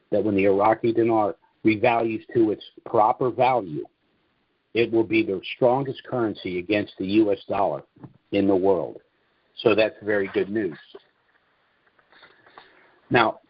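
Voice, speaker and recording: A man's voice: lowish voice, breathy edge, dry recording, muffled recording. A man hosting a conference call, lecturing in an opinionated way and posing rhetorical questions. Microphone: phone or call line.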